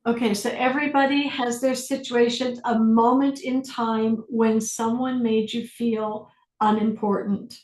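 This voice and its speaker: Calm woman guiding a group, echoey room. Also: fairly high pitch. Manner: calm, slow, deliberate prompting. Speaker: facilitator. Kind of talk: guided inquiry on a group video call. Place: online group session.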